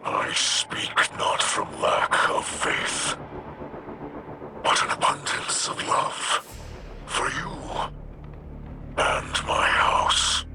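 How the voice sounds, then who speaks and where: A man playing a proud, solemn, ailing alien leader in a video game, with a breathy growl and halting, portentous delivery. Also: noisy background. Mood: strained, grave. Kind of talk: dramatic game voice acting. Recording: loud music under the voice.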